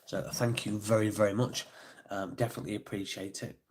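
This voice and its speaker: Man, stuffy nose, slightly low voice, casual live-stream host, ums a lot. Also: fairly even intonation, fairly quick, a little mellow. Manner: chatty, thanks viewers by name, many fillers. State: congested, sniffly.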